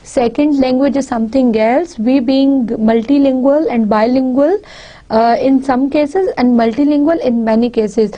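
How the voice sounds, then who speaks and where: Slow, mellow Pakistani woman lecturing. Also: high voice, muffled recording. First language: Punjabi. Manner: deliberate, repeats and restarts phrases. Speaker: university lecturer. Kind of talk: recorded lecture.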